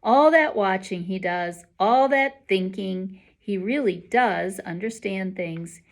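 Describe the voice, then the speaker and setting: A woman teacher reading a children's novel aloud in an expressive storytelling style. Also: medium pitch, slow.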